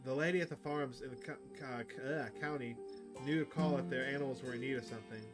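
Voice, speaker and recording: A man's voice: mid-range voice, fast, music in the background, close to an everyday voice. Man reading as chatty outdoorsy woman cryptid hunter. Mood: chatty, fond. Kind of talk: visual novel dialogue read aloud.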